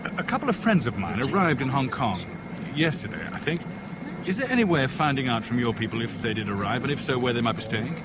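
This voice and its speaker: An actor playing a polite, guarded English gentleman traveller in a 1970s radio drama, formal and slightly hesitant, with a British accent. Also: mid-range voice, sing-song, fast talker, heavy background noise, close to an everyday voice. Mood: tentative, courteous.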